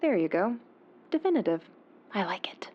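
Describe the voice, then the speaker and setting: A woman's voice: medium pitch, big pitch swings, moderate pace. A secretive female informant in an audio drama. She sounds controlled, terse and slyly guarded, heard through a dull, muffled phone line. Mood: coolly teasing. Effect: phone-call filter.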